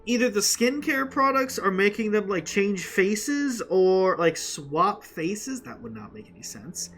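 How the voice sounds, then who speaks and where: Young guy gamer reacting, kinda high voice, halting and slangy, faint game music behind. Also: animated, gravelly, a bit bright. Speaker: gamer playing on camera or mic. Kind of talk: casual gameplay commentary.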